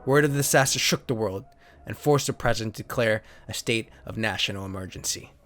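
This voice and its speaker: A man's voice: lowish voice, fairly quick, a bit bright, soft background music. Man giving a dramatic sci-fi narration. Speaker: host and reader. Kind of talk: dramatic scripted narration of retro sci-fi story.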